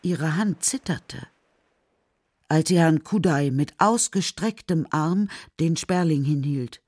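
A woman narrating a children's radio play in a measured, scripted storytelling style. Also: low voice, darkish tone, no room sound, clean studio sound.

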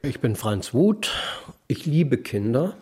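A man in his late fifties with a mellow, warm tone speaks calmly and reflectively. His delivery is steady, as in a documentary interview. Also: mid-range voice, takes it slowly. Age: 50s.

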